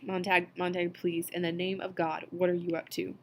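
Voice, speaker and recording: A woman voicing an anxious old man who pleads in a low, urgent hush. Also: fairly even intonation, normal speed, a little hiss or hum, lightly acted. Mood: pleading.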